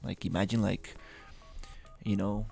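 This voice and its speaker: A young man from Rica, chatting casually on a live stream, halting, with many fillers and restarts. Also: deep voice, fairly even intonation, creaky, dark tone, breathy edge. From Costa Rica. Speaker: livestreamer.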